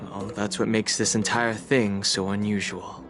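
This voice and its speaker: Man acting a low-voiced, grave analyst. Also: little pitch movement, over music, close to an everyday voice. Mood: grave, pensive. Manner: measured, serious. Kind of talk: anime game voice acting.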